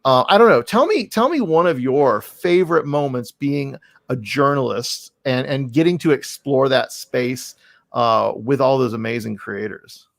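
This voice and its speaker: A man's voice: medium pitch, expressive, measured pace, creaky, dry recording, studio quality. A man hosting a casual podcast interview, talking in an effusive, rambling, self-deprecating way. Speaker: podcast host.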